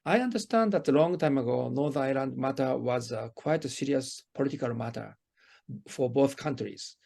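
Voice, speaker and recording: Creaky-voiced man with a Japanese accent. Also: mid-range voice, fairly quick, darkish tone. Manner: hesitant, circling phrasing with hedged questions. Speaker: interviewer. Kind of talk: conversational phone interview.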